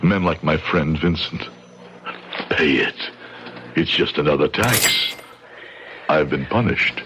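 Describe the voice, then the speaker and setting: An actor playing a grieving immigrant father set on revenge in an old radio drama, speaking in solemn, deliberate, formal phrases. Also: very deep voice. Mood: bitter, resolved. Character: grieving immigrant father, shoe repairman, bitter and bent on revenge. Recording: dull, noisy old radio broadcast.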